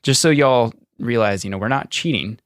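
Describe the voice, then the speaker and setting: A man's voice: medium pitch, normal speed, dry recording, studio quality. A young man co-hosting a casual podcast, talking in an easygoing, amused way. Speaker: podcast co-host.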